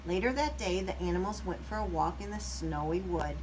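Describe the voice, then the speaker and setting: A woman reading a picture book aloud to young children, expressive, with explanatory asides. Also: on the low side, fairly quick, background noise.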